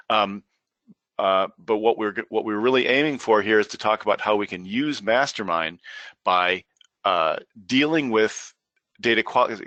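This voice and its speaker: A middle-aged man with a froggy, hoarse voice presenting a software training webinar, hesitant, with many fillers and restarts. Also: on the low side, dry recording. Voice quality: frequent filler words and restarts. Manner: rambling, hesitant delivery.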